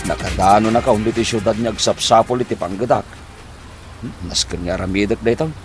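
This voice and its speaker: A man's voice: on the low side, a little fast, over music, close to an everyday voice. A man acting a worried, debt-ridden husband in an Ilocano comedy drama, with an Ilocano accent and a flustered, stammering, sighing manner. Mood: weary, hungry. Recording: noticeable background noise.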